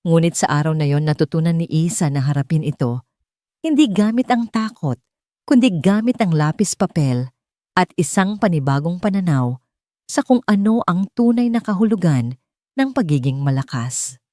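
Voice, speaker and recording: A woman's voice: lowish voice, expressive, normal speed, mellow, no echo, studio quality. A woman reading a scripted story as an audiobook narrator.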